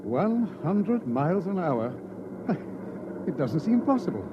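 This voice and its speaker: A man's voice: on the high side, very animated, slow, background noise. An actor playing a polite, curious sociologist visiting as a layman in an old-time radio drama, hesitant and inquisitive. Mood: amazed, hesitant. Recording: dull vintage radio recording.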